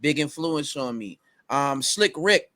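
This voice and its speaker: A man's voice: fairly high pitch, animated, slow, bright, forceful tone. A man in casual conversation, talking with many fillers and restarts and breaking into recited rap lines. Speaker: rapper guest.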